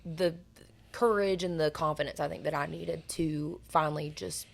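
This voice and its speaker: Raspy-voiced young woman, low alto. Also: steady tone, normal speed. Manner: earnest, rambling storytelling. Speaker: guest singer. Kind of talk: casual interview chat.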